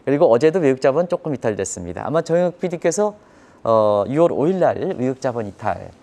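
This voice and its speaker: A man's voice: mid-range voice, big pitch swings, brisk, dark tone. A man giving live economic commentary on a broadcast in an emphatic, explanatory manner, posing rhetorical questions.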